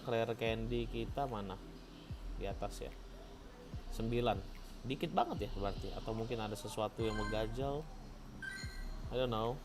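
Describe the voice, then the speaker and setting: A young man from Indonesia with an Indonesian accent, chatting casually on a live game stream, switching between slang and English and reacting playfully to viewers. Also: on the low side. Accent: Indonesian, Palembang. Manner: playful, reads and reacts to chat. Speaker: gaming streamer. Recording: music playing underneath.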